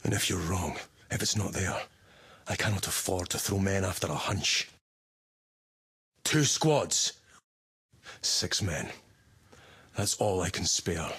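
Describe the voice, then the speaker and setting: An actor playing a stern, battle-hardened Space Marine commander in a video game, giving terse, authoritative orders in a gritty voice. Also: male voice, sits low, expressive, slow pace, pauses often, a bit bright, slightly rough, full character voice. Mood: cold, restrained. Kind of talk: dramatic video game voice acting, mission briefing.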